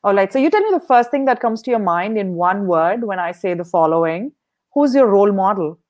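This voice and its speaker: A woman hosts a podcast interview in a mid-pitched voice. She sounds upbeat and encouraging as she asks her questions and praises her guest's answers. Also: lively intonation, fairly quick, darkish tone. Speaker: podcast host. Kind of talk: interview hosting.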